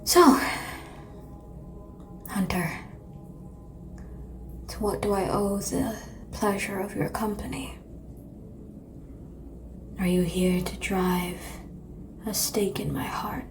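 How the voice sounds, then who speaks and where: A woman playing a seductive, world-weary vampire in an ASMR roleplay, calm and teasing, with a breathy whisper and languid, formal phrasing. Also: mid-range voice, takes it slowly, long pauses, noisy background. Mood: teasing, resigned.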